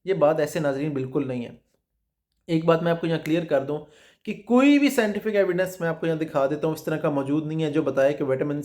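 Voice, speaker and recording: A man explains a nutrition topic to his viewers. His voice sits fairly high for a man. He teaches step by step, poses questions and answers them himself, and circles back to stress each point. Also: a little mellow. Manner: didactic, asks rhetorical questions, repeats points. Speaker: food specialist host. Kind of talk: explanatory health talk to camera.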